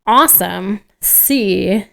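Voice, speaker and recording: A woman's voice: mid-range voice, extremely slow, gravelly, bright, forceful tone. Woman, podcast co-host, clean resonant voice, casual conversational style, enthusiastic, jokey. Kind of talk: casual chat with read-out news items.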